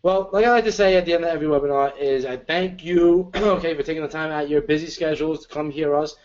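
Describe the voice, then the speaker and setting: A man's voice: a little high, fast, darkish tone. Salesy guy on a dull call line. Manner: pushy, salesy, slangy bro talk. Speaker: co-presenter and marketer. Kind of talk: casual sales pitch.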